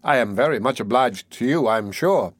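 A man voicing a two-faced woman who keeps pauper children in a storybook reading, both obsequious and sharp-tongued. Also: medium pitch, animated, normal speed, dry recording, studio quality, natural voice. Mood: fawning. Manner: obsequious to superiors, scolding to children. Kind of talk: audiobook character acting.

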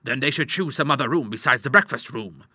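Audiobook role: fussy, courteous middle-aged father acted by a man, sharp bright voice, very dry sound. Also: medium pitch, expressive, fast, slightly rough, no echo, full character voice. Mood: strained patience. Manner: proper, courteous, exclamatory when vexed. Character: genial, fussy middle-aged doctor and father, easily ruffled. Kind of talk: audiobook character voice.

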